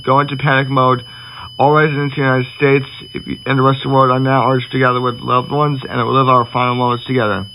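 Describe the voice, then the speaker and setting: Male amateur as solemn government official announcing the apocalypse, halting and repetitive delivery, mid-pitched, slightly noisy recording. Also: sharp tone. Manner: halting, stumbling, repeats himself. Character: grave government spokesman announcing the end of the world. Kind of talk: solemn emergency broadcast address.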